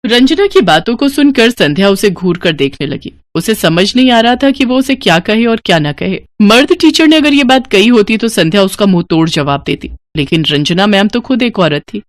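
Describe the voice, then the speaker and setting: A woman's voice: mid-range voice, expressive, normal speed, hardly any pauses, no room sound. A woman narrator reading a scripted moral story.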